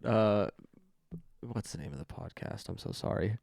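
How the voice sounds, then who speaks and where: A man's voice: fairly low pitch, lively intonation, moderate pace, creaky, darkish tone, dry recording. A man who is a guest on a casual podcast, teasing his host with dry jokes. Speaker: podcast guest, business owner.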